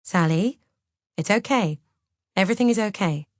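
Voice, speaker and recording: A woman voicing a gentle man who speaks softly and reassuringly, as a character in an audiobook story. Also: medium pitch, animated, normal speed, no echo, clean studio sound, close to an everyday voice. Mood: gently placating. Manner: soft, placating, reassuring. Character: gentle, soothing man calming an upset household.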